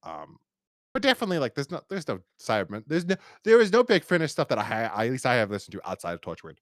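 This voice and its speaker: Rambling podcast man with swooping pitch. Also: wildly swooping pitch, dry recording, studio quality. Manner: rambling, halting with repeated false starts. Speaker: podcast host. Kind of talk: casual review chat.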